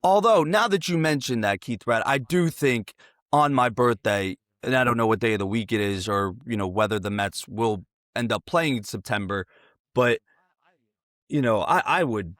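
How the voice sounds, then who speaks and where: A man's voice: mid-range voice, animated, moderate pace, a bit bright, dry recording, studio quality. A man in his twenties hosting a casual sports interview, talking in a rambling, digressive way. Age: 20s.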